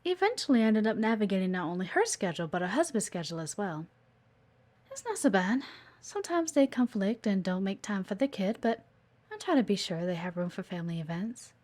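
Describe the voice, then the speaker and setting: A woman's voice: medium pitch, big pitch swings, a little fast, a little mellow. A woman playing a playful, teasing, observant executive assistant in an intimate one-sided audio roleplay. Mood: fond, reflective. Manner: coy, teasing, chatty, with murmured asides.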